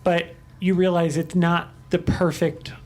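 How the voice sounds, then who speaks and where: A man's voice: fairly high pitch, level tone, a little slow, a little mellow. A man chatting casually as a panelist on a podcast. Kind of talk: casual chat.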